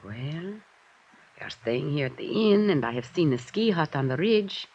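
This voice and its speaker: A woman's voice: low voice, expressive, normal speed, a little mellow. An actress playing an earnest, insistent young woman, a detective's companion, with dramatic 1950s radio delivery. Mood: soft, concerned. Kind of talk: 1950s American radio acting. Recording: dull, faintly noisy vintage radio recording.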